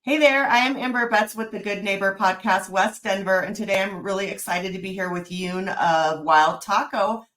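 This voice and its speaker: A woman's voice: mid-range voice, moderate pace, few pauses, fairly bright tone. A woman hosting a local podcast, asking questions in a friendly, affirming way during a casual interview. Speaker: podcast host.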